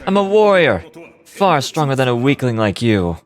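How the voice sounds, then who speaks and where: A cold warrior spirit acted by a man from a video game, menacing and belittling. The voice sits at a middling pitch and swings widely, with music underneath. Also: very animated, moderate pace, natural voice. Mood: scornful. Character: cold, scornful warrior spirit possessing a frail body. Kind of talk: scripted video game voice acting.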